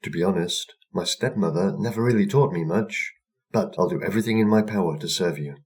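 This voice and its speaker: A man's voice: low-pitched, a little fast, darkish tone, lightly acted. A man voicing a humble, polite and obedient young girl in a storybook tale. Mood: earnest.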